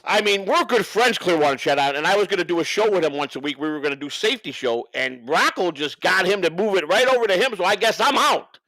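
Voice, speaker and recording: A man's voice: sits high, animated, fast, hardly any pauses, sharp tone, no echo, poor audio. A man on a livestream, talking off the cuff in a profane, rambling way and repeating himself for emphasis. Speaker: livestream co-host. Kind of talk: unscripted livestream rant and banter.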